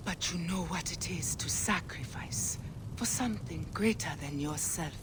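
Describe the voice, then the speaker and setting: A woman voicing a regal ghostly queen in a game cutscene, speaking in a breathy, whispery, solemn way. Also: fairly low pitch, moderate pace, background noise, close to an everyday voice. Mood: whispering. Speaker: game character. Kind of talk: video game cutscene acting.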